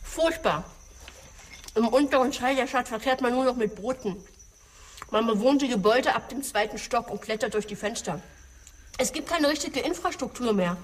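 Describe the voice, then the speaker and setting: An actress playing a matter-of-fact refugee and former police technician, earnest and direct, in a radio drama. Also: female voice, a little high, moderate pace, close to an everyday voice. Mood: grave. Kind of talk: German radio drama acting. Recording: faint background ambience noise.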